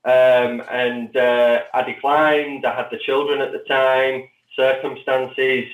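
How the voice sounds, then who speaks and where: A man giving a spontaneous account as an interview guest, halting and often repeating his words. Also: mid-range voice, little pitch movement, phone-quality sound. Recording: roomy echo, distant from mic.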